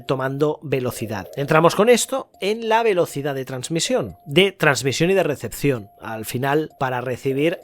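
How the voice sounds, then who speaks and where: A man with an accent from Spain hosting a podcast, explaining things point by point in a didactic way. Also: medium pitch. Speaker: podcast host. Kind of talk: podcast explainer on amateur radio.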